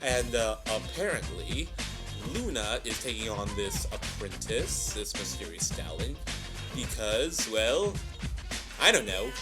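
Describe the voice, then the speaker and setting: A man hosting a reaction video with casual, playful commentary and hammy, drawn-out asides, music playing behind him. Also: on the low side, sing-song. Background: music and audio drama playback.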